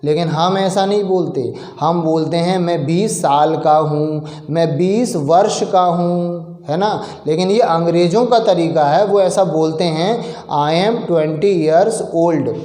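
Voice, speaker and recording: A man from India teaching English in Hindi, explaining step by step, asking and answering his own questions, and drawing out example sentences slowly. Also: a little high, mellow, roomy sound. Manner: slow, drawn-out example sentences.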